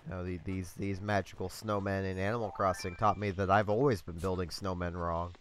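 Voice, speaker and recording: A man's voice: deep voice, flat delivery, brisk, a bit bright. Congested man chatting over gameplay. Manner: rambling, with many ums and small laughs. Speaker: let's play host. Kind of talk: casual gameplay commentary.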